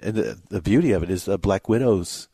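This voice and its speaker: Male film reviewer and co-host, lowish pitch, informal banter, close and echo-free studio sound. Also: brisk, vocal fry, dark tone, husky. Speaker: movie show co-host and reviewer.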